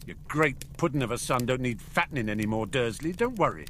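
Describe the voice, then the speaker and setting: A man's voice: lively intonation, normal speed, slight background noise. A man voicing a huge, hot-tempered but kindly giant, in an audiobook story. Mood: hearty, gruff. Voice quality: gruff, booming. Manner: rustic, blunt, informal.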